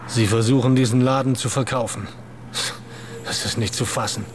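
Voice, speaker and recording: A man's voice: medium pitch, steady tone, moderate pace, slight background noise, lightly acted. An actor playing a worried male shop worker who suspects his friend. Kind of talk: radio drama acting.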